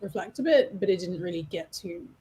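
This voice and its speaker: A woman's voice: fairly low pitch, animated, fairly quick, mellow, faint music behind. A woman giving blunt, opinionated, casual commentary on a game as a co-commentator.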